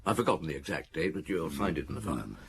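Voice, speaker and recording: Radio drama detective chief: middle-aged, deep, expressively inflected, calm and methodical in questioning. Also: male voice, vocal fry, slight background noise, full character voice. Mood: matter-of-fact recounting. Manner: measured, courteous, hesitant at times. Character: weary, methodical French police chief inspector, calm and probing.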